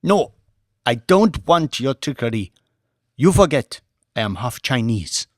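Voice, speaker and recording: A man's voice: medium pitch, sing-song, unhurried, no echo, clean studio sound. A man voicing a proud, suspicious Nigerian woman activist who makes indignant, emphatic declarations in an audiobook. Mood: defiant.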